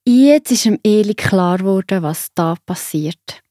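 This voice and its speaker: A woman with a Swiss accent narrating a story for children in a simple, expressive way. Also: mid-range voice, unhurried, no echo, clean studio sound.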